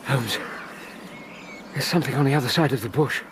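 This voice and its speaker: An actor playing an earnest, sceptical English doctor, a detective's loyal companion, in British radio drama style, plain-spoken and questioning. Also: male voice, mid-range voice, a little fast, natural voice. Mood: tense, alert. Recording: clear outdoor ambience noise behind.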